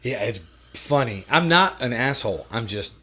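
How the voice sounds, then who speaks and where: A man's voice: mid-range voice, expressive, normal speed, bright, forceful tone, husky. A man in his forties hosting a podcast, chatting casually with a profane, self-deprecating humor. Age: 40s. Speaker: podcast host. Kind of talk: casual chat.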